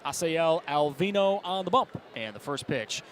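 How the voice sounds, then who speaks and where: A male baseball play-by-play broadcaster with an American accent. His voice sits a little high for a man as he delivers live game commentary. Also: lively intonation, normal speed, a little hiss or hum.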